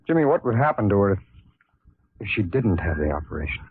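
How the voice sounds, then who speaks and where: An actor playing an anxious, desperate man in an old-time radio drama, speaking in a pleading, halting way. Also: fairly low pitch, big pitch swings, breathy edge, exaggerated voice. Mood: worried. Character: anxious man desperate over a loved one. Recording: noisy, tinny old radio recording.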